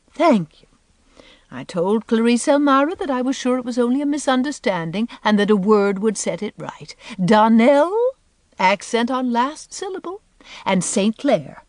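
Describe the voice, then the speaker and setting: A woman acts out a haughty storybook society mother with a raised, swooping pitch. She is pompous and affected, stressing her words with indignant insistence. The recording is close and dry. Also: a little mellow, dry recording, close to an everyday voice. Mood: gracious, insistent. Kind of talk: audiobook character voice.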